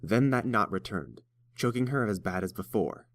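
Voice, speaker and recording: A man's voice: lowish voice, animated, moderate pace. A man reading scripted fiction aloud as the narrator of an audiobook.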